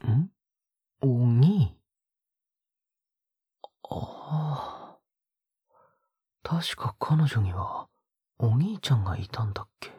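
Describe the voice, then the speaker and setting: Breathy, whispering young man, played by an actor. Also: medium pitch, very animated, drawn-out words, pauses often, dark tone, no echo, studio quality, full character voice. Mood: tender, coaxing. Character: soft-spoken, doting, possessive young man posing as big brother. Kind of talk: intimate close-mic audio drama acting.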